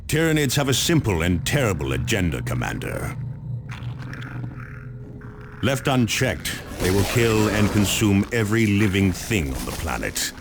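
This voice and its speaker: Very deep, solemn space marine veteran. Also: male voice, very animated. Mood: urgent briefing. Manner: solemn, portentous, measured. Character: grave male veteran space marine briefing his commander. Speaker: game cutscene narrator. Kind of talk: dramatic scripted game narration. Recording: loud music and battle noise behind.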